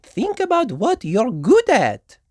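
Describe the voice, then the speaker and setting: Woman as slow, high-pitched lady gazelle teacher. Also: wildly swooping pitch, a little mellow, dry recording, studio quality, exaggerated voice. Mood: encouraging, instructive. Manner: drawn-out, encouraging teacher talk. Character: Madame Gazelle, encouraging female playgroup teacher gazelle. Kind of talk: children's storybook character voice.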